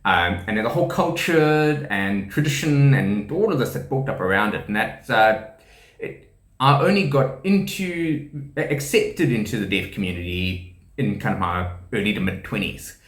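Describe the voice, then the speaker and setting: A man in his thirties with a South African accent talks loosely and warmly about being deaf, chuckling often and circling back over his words. His voice sits a little low. The room around him is bare and echoey. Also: lively intonation, moderate pace. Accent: South African English. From South Africa, KwaZulu-Natal. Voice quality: speech shaped by profound hearing loss. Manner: rambling, many ums and restarts, laughs often. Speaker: deaf teacher and rugby player presenting a channel. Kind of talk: casual explanatory talk to camera.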